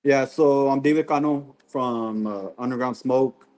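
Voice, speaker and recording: A man, a barbecue pitmaster guest, talking in a relaxed, rambling way and saying "you know what I mean" often. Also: medium pitch, measured pace. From Texas. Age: 20s. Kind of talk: casual podcast interview.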